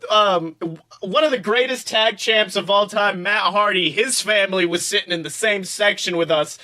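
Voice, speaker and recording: High, bright-voiced man, animated podcast storyteller. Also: moderate pace. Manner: animated, profane, acts out quotes. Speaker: podcast co-host. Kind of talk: casual comedic podcast chat and storytelling.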